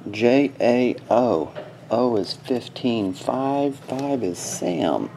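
Low-key, slow-paced man with a mellow voice. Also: expressive, gravelly, a little hiss or hum. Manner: terse, muttering in short fragments. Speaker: host of a sports card box break. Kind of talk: casual live commentary while opening card packs. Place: small indoor desk setup.

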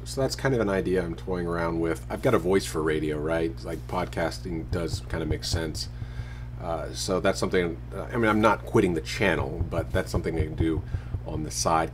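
A man in his thirties talking casually to a handheld camera at arm's length, relaxed and rambling. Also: deep voice, slight background noise. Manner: relaxed, rambling, self-deprecating. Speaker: vlogger. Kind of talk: casual vlog talk to camera. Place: porch and living room of a house, then dark room.

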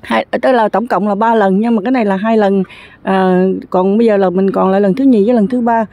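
A woman's voice: mid-range voice, steady tone, a little fast, dark tone, dry recording. A woman giving a chatty, rambling walking commentary while touring a construction site outdoors, filming on a handheld phone. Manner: chatty, rambling, many fillers.

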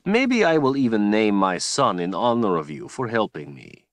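A man's voice: on the low side, sing-song, moderate pace, dry recording. A man voicing a silky cruel tyrant. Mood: mocking. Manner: silky, taunting, menacing. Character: smooth cruel male tyrant, mocking.

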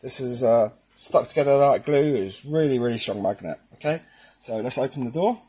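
Guy doing a casual how-to demo, normal pitch, chatty installer tutorial style. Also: normal speed, warm tone, breathy edge. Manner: casual, addresses viewers as guys. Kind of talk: informal instructional demonstration.

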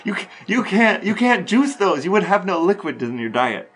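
A man's voice: sits high, very animated, normal speed, fairly bright tone, soft background music. A man co-hosting a podcast, asking questions in a casual, conversational interview style. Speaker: podcast co-host.

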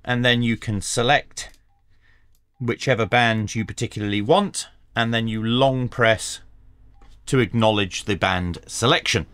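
A man's voice: medium pitch, a bit bright, smooth, no echo. A middle-aged man hosting a hands-on product review and tutorial, explaining things step by step with dry asides. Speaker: radio review channel host. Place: small indoor home workshop.

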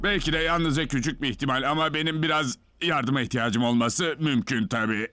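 A man's voice: mid-range voice, brisk, sharp tone, soft background music, close to an everyday voice. A man playing a cocky, wisecracking young alien hero in a dubbed cartoon. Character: cocky young male alien hero of living crystal, playful.

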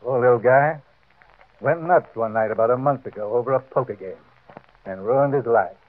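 Hard-boiled police inspector played by an actor, tinny radio. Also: male voice, mid-range voice, moderate pace, close to an everyday voice. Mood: pitying. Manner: wry, slangy, hard-boiled. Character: worldly, matter-of-fact male police inspector. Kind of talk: 1940s radio drama acting. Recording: tinny vintage radio recording.

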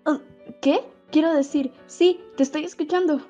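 High-pitched flustered young heroine played by a woman. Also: fairly even intonation, normal speed, warm tone, natural voice. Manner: hesitant, stammering. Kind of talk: amateur Spanish comic fandub. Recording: dull lo-fi audio under music.

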